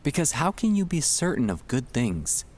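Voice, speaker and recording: A man speaking in a gentle, soothing and reassuring way, guiding relaxation in an ASMR style with pauses between short phrases. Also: a little high, big pitch swings. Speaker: ASMR sleep-aid performer.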